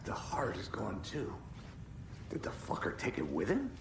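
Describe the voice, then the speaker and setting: A man's voice: lowish voice, wildly swooping pitch, measured pace, noisy background. A man playing a dry, world-weary federal agent in a video game, terse and sardonic. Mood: grim. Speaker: FBI agent.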